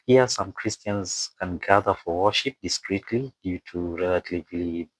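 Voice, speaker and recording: A man who is not a native English speaker narrates an explainer from a script with asides, haltingly, stumbling over words and repeating phrases. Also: deep voice, husky, low-quality recording. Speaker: video presenter and narrator.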